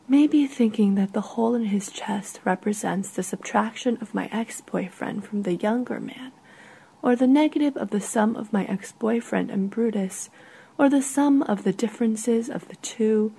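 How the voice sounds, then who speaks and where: A woman reading a literary short story in a soft, measured way, with a husky, breathy voice. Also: on the high side, rough recording.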